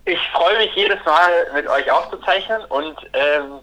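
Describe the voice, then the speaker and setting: A man's voice: on the high side, measured pace, pushed and bright. A man chatting casually and effusively as a podcast co-host calling in over the phone. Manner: effusive, sentimental. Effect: phone call. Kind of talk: casual chat. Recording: phone call into the show.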